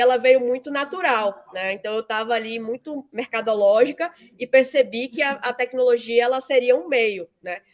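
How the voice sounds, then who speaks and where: A woman, giving conversational, explanatory interview answers peppered with tag questions. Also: fairly high pitch. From Salvador, Bahia, Brazil. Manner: frequent 'né' tags, explanatory. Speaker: interview guest, fintech founder and CEO.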